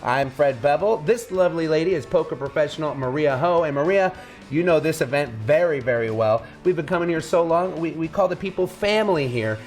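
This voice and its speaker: Male sports host, fairly high voice, polished upbeat tournament commentary over faint music. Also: expressive, brisk. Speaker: poker show host and commentator. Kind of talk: upbeat broadcast hosting and commentary.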